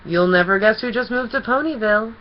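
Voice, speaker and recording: A woman's voice: on the high side, a little fast, lo-fi sound, a little hiss or hum, pitched above the performer's own voice, close to an everyday voice. A woman voicing a gleeful, teasing male chaos creature from a children's storybook, with theatrical mock innocence and gushing delight. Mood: excited, sociable. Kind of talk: children's storybook character reading.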